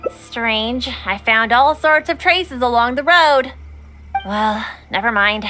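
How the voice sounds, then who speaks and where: A woman's voice: a little high, slow, bright, forceful tone, faint music behind. A woman playing a dutiful, perceptive young shrine maiden in an anime video game, speaking formally and politely. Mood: puzzled, searching.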